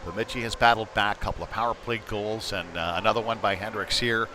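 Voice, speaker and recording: An American man giving casual, conversational live hockey play-by-play as a commentator, getting excitable when play heats up. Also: on the low side, brisk. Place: indoor ice rink. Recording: noisy indoor ice rink.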